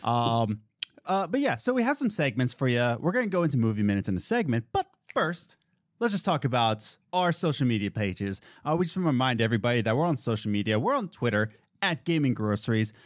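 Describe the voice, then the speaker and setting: Male podcast co-host, casual, lively pitch jumps, dry close-mic sound. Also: brisk, dry recording. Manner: swings between low easygoing talk and high excited bursts. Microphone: studio podcast mic.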